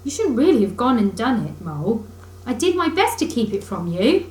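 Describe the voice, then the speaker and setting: A woman voicing a good-natured, capable male river rat in a children's storybook, with a brisk, chummy, reassuring manner. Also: fairly high pitch, sing-song, a little mellow, reverberant, rough recording. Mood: soothing.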